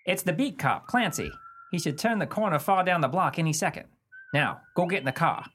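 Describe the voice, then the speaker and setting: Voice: medium pitch, lively intonation, a little fast, fairly bright tone, slight background noise, natural voice. An actor playing a cold, scheming gangster in a 1930s pulp radio play, talking in tough slang. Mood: scheming, bossy. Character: scheming gangster Skeeters, cold, cynical crook.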